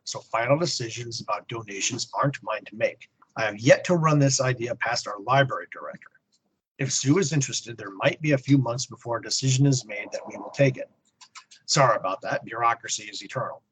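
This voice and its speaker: Male podcast co-host, average pitch, a little raspy, casual conversational read. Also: fairly bright tone, low-quality recording. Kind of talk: casual chat and reading listener email aloud.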